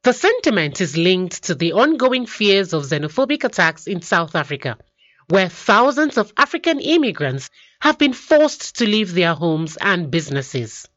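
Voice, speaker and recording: A woman presents the news with an animated, swinging pitch. Her voice is dry and close, with a slightly dull, lo-fi tone and faint music underneath. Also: normal speed, dry recording. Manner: lively, clearly paced delivery.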